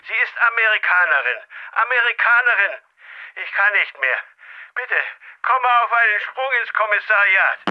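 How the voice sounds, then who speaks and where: An actor playing an exhausted, grumbling senior police commissioner in a German radio crime drama, with expressive delivery. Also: male voice, high voice, normal speed, lo-fi sound. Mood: exasperated. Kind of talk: German radio play acting. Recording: music under the voice.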